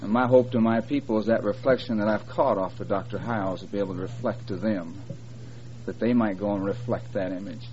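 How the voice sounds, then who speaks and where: A man's voice: on the low side, steady tone, brisk, darkish tone. A man, a pastor, speaking earnestly and prayerfully to his church. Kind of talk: church address. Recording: dull lo-fi recording with faint hum.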